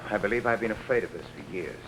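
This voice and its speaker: A man's voice: lowish voice, expressive, fast, close to an everyday voice. A man acting as an earnest, emotional conductor in 1950s television melodrama. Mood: shaken, grieving. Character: earnest, devoted male conductor, grieving widower. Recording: noisy old 1950s television soundtrack.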